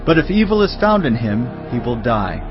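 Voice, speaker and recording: A man acting a stern king, animated pitch. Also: moderate pace, lightly acted. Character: King Solomon, new male king, stern, measured. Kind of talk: dramatised audio Bible acting. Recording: dull lo-fi audio, clear music behind.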